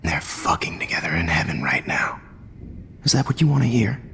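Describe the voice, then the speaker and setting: A man's voice: deep voice, very animated, fairly quick, gravelly, sharp tone, a little hiss or hum, heavily acted. A man voicing a smug, manipulative photography teacher in a video game, taunting and often whispering. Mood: menacing. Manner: taunting, mocking, drops into whispers.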